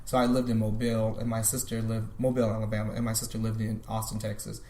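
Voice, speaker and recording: A man's voice: lowish voice, monotone, fast, a little mellow. A man in his thirties, a podcast guest, talking thoughtfully in a casual conversation and often restarting his sentences. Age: 30s. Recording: roomy echo.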